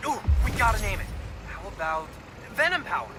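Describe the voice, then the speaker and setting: An eager young best friend chatters excitedly over a phone call. His voice is high and lively, with loud street noise behind it. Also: fairly quick.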